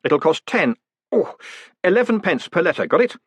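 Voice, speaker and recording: A man voicing a brisk, cocky postmaster in an audiobook, with clipped orders and quick questions. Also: high-pitched, very animated, breathy edge, no echo, voice pushed up, full character voice. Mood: brash, commanding. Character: brisk, brash male postmaster, cocky and commanding.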